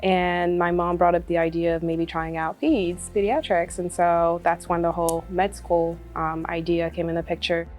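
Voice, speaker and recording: Brief: young woman from Congo, lowish voice, relaxed testimonial, faint music under. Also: normal speed, few pauses. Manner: casual and chatty, many fillers, laughs often. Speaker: medical student. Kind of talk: testimonial interview.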